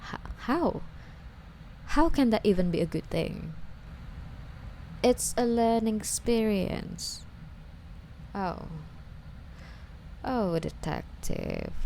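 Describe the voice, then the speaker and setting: A woman's voice: fairly low pitch, warm tone, a little hiss or hum. A woman playing a lovesick, obsessive young stalker under interrogation in an ASMR roleplay, with a coy, wheedling, hesitant delivery. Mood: unsettled, probing.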